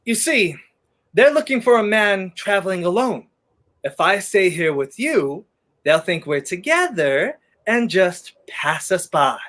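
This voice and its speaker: A man's voice: sits high, sing-song, unhurried, fairly bright tone, full character voice. A young man acting an urgent, charming man on the run in a 1930s-style radio play. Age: 20s.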